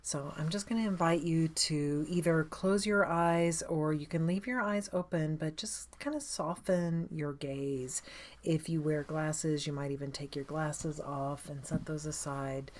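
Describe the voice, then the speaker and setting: A woman with a low voice guides a meditation. She speaks very slowly and without hurry, giving gentle instructions in a soothing, inviting way. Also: fairly even intonation.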